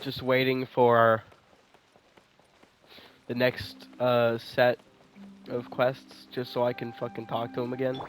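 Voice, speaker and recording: A man's voice: medium pitch, completely flat delivery, slow, long pauses. Man giving casual, sweary game commentary. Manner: informal, sweary, repeats phrases. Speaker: game streamer. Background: faint game music.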